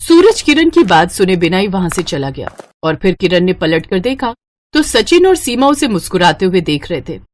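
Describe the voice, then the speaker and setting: Expressive woman narrator, lively intonation. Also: lowish voice, normal speed, a little hiss or hum. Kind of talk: scripted storytelling narration for animated moral story.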